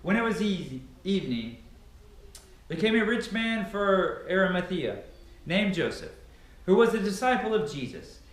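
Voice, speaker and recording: Very roomy echo, young man, higher-pitched voice, dramatic scripture reading, emphatic delivery, camera at a distance. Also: sing-song, normal speed. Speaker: reader of scripture. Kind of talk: dramatic Bible reading aloud. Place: large, sparsely furnished living room indoors. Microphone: camera a few meters away. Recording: very echoey room, camera at a distance.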